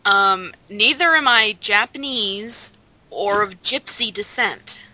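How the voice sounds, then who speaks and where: A woman with a very bright, forceful voice chats in an interview. She sits at a medium pitch and answers casually with dry, self-deprecating wit. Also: takes it slowly, a little hiss or hum, rough recording. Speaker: voice actor being interviewed. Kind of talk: casual chat.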